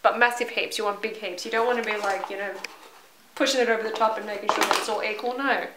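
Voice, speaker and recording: A woman's voice: medium pitch, fairly even intonation, quick pace, crowd noise. A woman in her twenties with an Australian accent, giving a casual, joking, improvised cooking demonstration. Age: 20s. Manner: joking, offhand. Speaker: cook in a how-to video. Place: small home kitchen, indoors. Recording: noisy echoey kitchen, clattering dishes.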